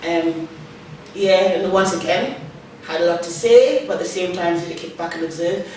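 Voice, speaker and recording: A woman's voice: lowish voice, a little hiss or hum. A woman youth worker, reflecting in a loose interview with many fillers. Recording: echoey room, mic at a distance.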